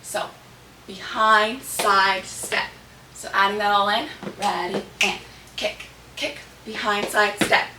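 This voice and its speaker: A slightly hoarse woman hosts a dance tutorial, giving step-by-step instructions and counts in short rhythmic cues. Her medium-pitched voice comes from a distance in an echoey room, with noticeable background noise. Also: fairly even intonation, takes it slowly. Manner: clipped rhythmic counting and cueing of moves.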